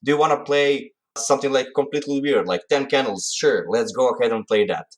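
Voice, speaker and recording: A young man from Croatia with a Croatian accent, recounting his hobby in a relaxed way with frequent fillers. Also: medium pitch, brisk. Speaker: interviewee. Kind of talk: casual recounting.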